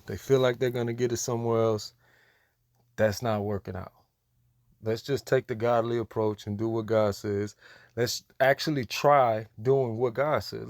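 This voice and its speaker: A man's voice: mid-range voice, darkish tone, no echo. An American man delivers preachy commentary in a mid-range voice. He is admonishing and emphatic, hammering points through repetition. The sound is close and dry, with very little room. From United States. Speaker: religious commentator.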